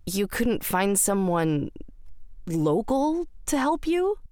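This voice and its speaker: A woman's voice: medium pitch, very animated, takes it slowly, darkish tone, no room sound, studio quality, pitched above the performer's own voice. Woman acting a tipsy, wary young clubgoer. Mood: confused, sceptical. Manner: casual, sceptical, off balance. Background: music.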